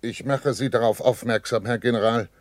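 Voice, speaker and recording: An actor playing a pressed German army captain in a 1960s radio play, with insistent, formal soldierly address. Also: male voice, medium pitch, steady tone, fairly quick, no echo, lightly acted. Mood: urgent, insistent.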